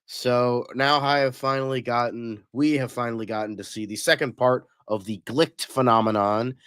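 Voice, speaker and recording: A man's voice: mid-range voice, clean tone, dry recording, clean studio sound. A man with a cold, sounding nasal, giving a blunt, profane film review on a call. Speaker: host. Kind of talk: casual film review discussion.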